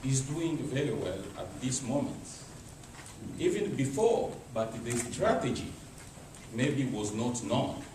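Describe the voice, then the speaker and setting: A man from DR Congo with a Congolese accent, making an impromptu formal comment in halting, non-native phrasing. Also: mid-range voice. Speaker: embassy representative commenting from the audience. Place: meeting room, speaking without a microphone. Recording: very echoey, distant, clearly noisy room.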